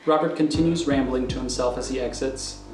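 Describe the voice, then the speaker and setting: A man's voice: mid-range voice, steady tone, fairly quick. A man reading screenplay stage directions as the narrator of an audio thriller. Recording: roomy echo with music underneath.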